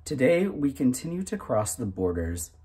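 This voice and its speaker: Male reader, 30s, very husky voice, deliberate and emphatic delivery of self-help text with animated pitch. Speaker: narrator reading a book aloud. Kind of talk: reading aloud from a self-help booklet.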